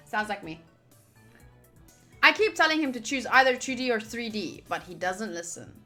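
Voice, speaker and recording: A woman hosting a visual novel playthrough, reading the narration aloud and adding chatty, expressive asides. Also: a little high, a little slow. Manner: chatty, expressive, reacting fondly to the game. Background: background music.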